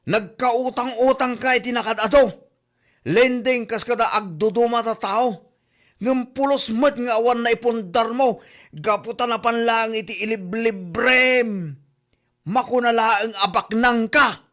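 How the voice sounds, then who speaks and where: A woman's voice: fairly high pitch, measured pace, a bit bright. A woman with an Ilocano accent acting as a mocking, scolding village busybody in a comedy drama. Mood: taunting.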